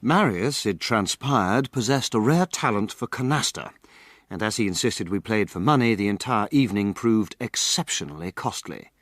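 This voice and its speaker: A wry gentleman telling a radio mystery. His voice is fairly deep and measured. The sound is very dry, with understated delivery. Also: lively intonation, no room sound. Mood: wry, reflective. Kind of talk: scripted first-person drama narration.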